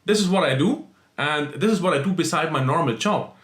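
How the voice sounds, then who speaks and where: A man's voice: fairly high pitch, animated, brisk, fairly bright tone, echoey room. A man from a small central European country, speaking English as a second language, talking earnestly and plainly as a vlogger, with frequent fillers and restarts. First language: not English. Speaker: side-hustle vlogger. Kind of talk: casual screen-share explainer.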